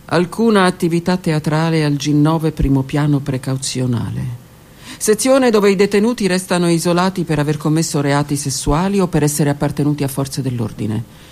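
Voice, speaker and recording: Woman reading aloud in a gravelly hush. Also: deep voice. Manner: slow, intimate, hushed delivery with whispered words. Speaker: author reading her own book. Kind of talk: dramatic literary reading on radio. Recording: radio broadcast with faint music.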